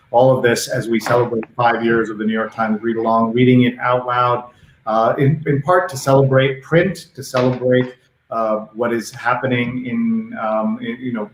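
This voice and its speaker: A man hosting an unscripted live talk show, speaking haltingly and often repeating phrases. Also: mid-range voice, echoey room, slight background noise, low-quality recording.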